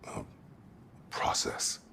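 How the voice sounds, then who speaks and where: A man playing a grim, weary warrior in a video game, gravelly and speaking in terse, halting, solemn phrases. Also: sharp tone, a little hiss or hum. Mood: solemn resolve. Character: grim, weary, battle-hardened male warrior seeking understanding. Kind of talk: dramatic video game acting.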